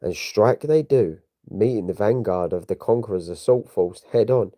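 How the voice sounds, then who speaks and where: A man's voice: fairly low pitch, moderate pace, warm tone. A man giving a theatrical, grandiose scripted narration of a comic-book story. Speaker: narrator of a comic read-along.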